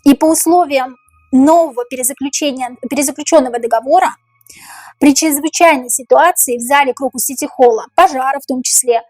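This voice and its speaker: High-voiced woman with a tiring voice. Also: a little mellow, no echo, low-quality recording. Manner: repeats each question slowly, muses over the cards. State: voice tiring, going hoarse. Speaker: tarot reader. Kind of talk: tarot reading to camera, thinking aloud.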